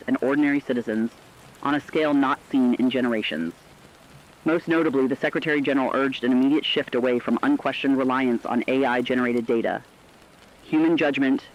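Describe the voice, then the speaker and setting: A man playing a solemn radio news anchor, reading a scripted crisis bulletin in a measured, earnest, formal way. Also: mid-range voice, close to an everyday voice. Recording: dull lo-fi radio sound.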